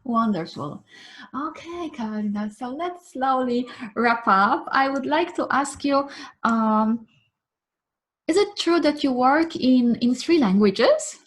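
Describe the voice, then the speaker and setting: A woman's voice: high-pitched, expressive, slow. A woman hosting an interview on a video call, encouraging her guest with frequent affirming murmurs. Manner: encouraging, frequent mm-hmm backchannels. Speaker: interview host, coach. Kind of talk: casual interview conversation.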